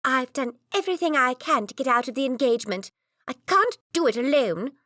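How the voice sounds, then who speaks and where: A woman's voice: high voice, animated, brisk, fairly bright tone, dry recording, clean studio sound, voice pushed up. A woman voicing a nervous young noblewoman who pleads earnestly, in a storybook reading. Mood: pleading.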